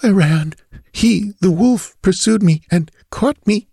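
A man's voice: sits high, gravelly, warm tone, slightly rough, no room sound, studio quality, pitched above the performer's own voice, heavily acted. A man voicing a frail, frightened young girl who speaks weakly in gasps, in an audiobook story. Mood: anguished, fading. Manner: gasping, weak, halting.

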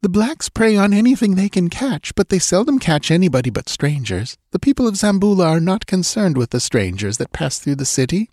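A man's voice: high-pitched, very animated, fairly quick, hardly any pauses, darkish tone, no room sound, clean studio sound, voice pushed up. A man voicing a frightened young woman, a dancing girl who pleads breathlessly. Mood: explaining.

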